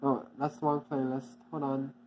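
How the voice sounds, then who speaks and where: A channel owner giving a casual, off-the-cuff update, halting and repeating itself often with many restarts. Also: mid-range voice, flat delivery, darkish tone, a little hiss or hum, rough recording.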